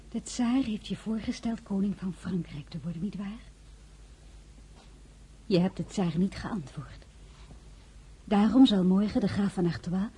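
A woman playing a caring crown princess and gracious hostess in a radio drama, composed, courteous and gently firm. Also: mid-range voice, breathy edge.

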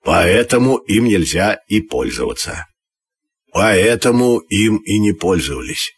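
A man's voice: fairly low pitch, sing-song, a little slow, slightly rough, dry recording, clean studio sound, exaggerated voice. A man voicing a very old, knowing female crow who explains things instructively in a children's storybook tale. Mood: knowing, patronizing.

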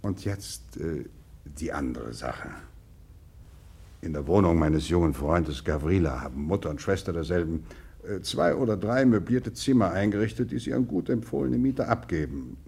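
An actor in a 1950s German radio play, playing a condescending, evasive older general with a husky voice and jovial formality. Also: male voice, sits low, animated, moderate pace, warm tone, heavily acted. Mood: patronising, evasive. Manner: hesitant, patronising, jovial formality.

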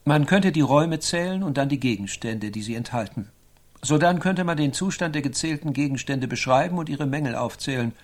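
An actor playing a learned, pedantic scribe serving a medieval lord in a radio play, formal and precise. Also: male voice, on the high side, lively intonation, brisk. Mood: precise, eager. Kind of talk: German radio drama acting.

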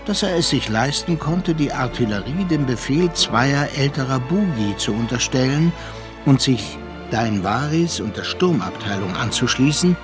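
Man as measured literary narrator over music. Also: medium pitch, natural voice. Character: measured literary male narrator, worldly listener to the adventurer. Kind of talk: scripted radio drama narration. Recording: music behind the voice.

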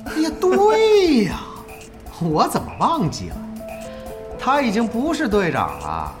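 Spiteful, shrill, swooping male rival. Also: huge pitch swings, slow pace, full character voice. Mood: mock-friendly, sneering. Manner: theatrical sarcasm, mocking and taunting. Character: spiteful, sneering male esports player, resentful former subordinate. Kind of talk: radio drama acting. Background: background music.

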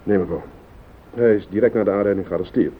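Radio-play private investigator: deepish, quick, formal, composed, methodical questioner. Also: male voice, breathy edge. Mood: grave, explaining. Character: composed, probing male private detective. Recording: tinny old radio recording, hiss, rumble.

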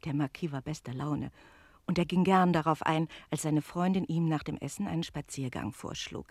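Expressive innkeeper storyteller, close and dry. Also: female voice, low-pitched, normal speed, no echo. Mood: engaged telling. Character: innkeeper woman telling a tale, lively, unafraid storyteller. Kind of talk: dramatised storytelling in German radio play.

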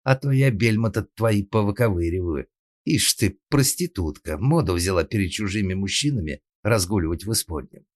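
A man's voice: fairly low pitch, lively intonation, moderate pace, dry recording, clean studio sound, voice pushed up. A man voicing a jealous middle-aged wife in a comic audiobook, shrieking, hissing and scolding. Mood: venomous. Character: shrill jealous middle-aged wife, furious mistress of the house.

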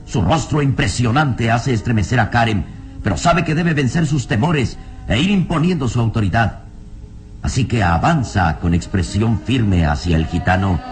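A man's voice: mid-range voice, lively intonation, moderate pace, natural voice. A man performing a radio serial narrator who tells the story solemnly and with suspense. His delivery is theatrical, rising and falling widely, with prominent music behind it. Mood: suspenseful.

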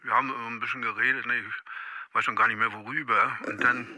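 A man's voice: mid-range voice, fairly even intonation, brisk, bright, forceful tone, dry recording, rough recording. A man playing a hesitant, easily embarrassed witness in a naturalistic radio crime drama, rambling and adding little questioning tags. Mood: embarrassed, unsure. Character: hesitant, embarrassed, eager-to-help male witness phoning police.

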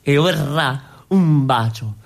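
A woman's voice: deep voice, animated, takes it slowly, gravelly, full character voice. A woman playing a naive, lovestruck male rebel in exaggerated, clownish comic theatre. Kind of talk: theatrical comic stage acting.

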